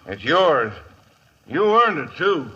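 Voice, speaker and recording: A hearty frontier cavalry sergeant in a muffled 1950s radio Western. His voice is highish, bright and very lively, blunt and full of exclamation. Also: takes it slowly, heavy background noise. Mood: hearty, excited. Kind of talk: 1950s radio drama acting. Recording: dull 1950s radio broadcast recording.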